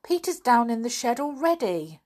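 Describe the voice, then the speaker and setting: A woman reads the part of an eager young schoolgirl from a children's storybook. She is flustered and insistent, with an excitable manner and a raised pitch. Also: normal speed. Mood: pleading. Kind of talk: children's storybook read aloud.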